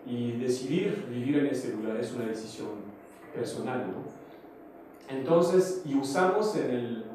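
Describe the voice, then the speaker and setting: A man giving a semi-formal, unscripted conference talk as an invited speaker, reflective, with hesitant pauses. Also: mid-range voice, a little mellow. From outside Mexico. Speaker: theatre company director, invited speaker. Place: large echoey conference room. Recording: very echoey hall, distant mic, faint noise.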